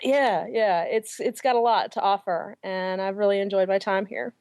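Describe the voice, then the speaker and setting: A woman's voice: mid-range voice, fairly even intonation, moderate pace, a little mellow. A woman from Atlanta with a Southern US accent, a fifth-grade teacher talking in an easygoing way as an interview guest. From Atlanta, Deep South US.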